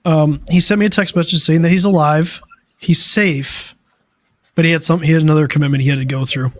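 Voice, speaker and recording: A man hosting a casual tech podcast, tired and dealing with allergies, talking in a loose, relaxed way. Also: a little high, brisk. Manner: relaxed, loose, many fillers. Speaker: podcast host. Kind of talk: casual chat.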